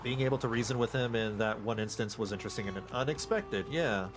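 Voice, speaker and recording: Excitable rambling male game streamer. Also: medium pitch, brisk, music in the background. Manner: excitable, rambling, stammers on repeats. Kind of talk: casual live gameplay commentary.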